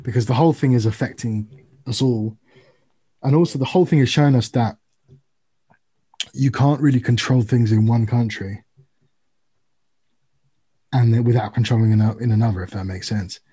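A man's voice: medium pitch, moderate pace, pauses often, warm tone, slightly rough. A man in casual discussion, earnest and hedging, explaining his points with examples.

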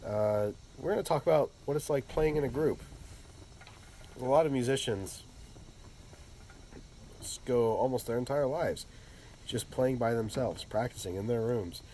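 A man's voice: medium pitch, unhurried, pauses often, a little hiss or hum, poor audio. Stuffy-nosed man hosting a podcast. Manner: relaxed, rambling with fillers. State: congested from a cold. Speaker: podcast host. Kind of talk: casual podcast chat.

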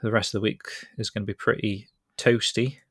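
A chatty British man hosts a casual podcast, talking in a low voice that rambles along easily. The sound is close and dry, with no room around it. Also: flat delivery, brisk, a bit bright, slightly rough, dry recording. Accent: British English. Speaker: podcast host.

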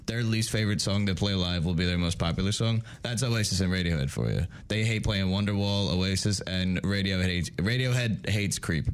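A man's voice: low voice, smooth. A young man co-hosting a college radio show, talking eagerly in casual banter. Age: young adult. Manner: enthusiastic, chatty, quick laughs. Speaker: radio co-host.

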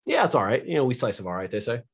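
A man's voice: mid-range voice, wildly swooping pitch, racing, gravelly, husky. A young American man from California, a podcast guest who talks loosely and jokes a lot. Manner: joking, loose and candid, laughs often. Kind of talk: casual interview chat.

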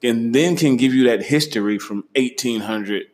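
A young man hosting a casual travel chat, relaxed and slangy, laughing easily. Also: medium pitch, moderate pace. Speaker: host.

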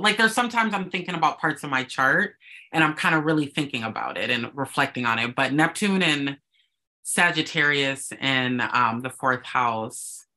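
A bright, clear-toned speaker with a blend of West Indian and American accent. They talk in an animated, storytelling way and swear casually. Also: mid-range voice. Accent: mixed West Indian and American. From United States, West Indian family. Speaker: guest. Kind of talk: casual chat.

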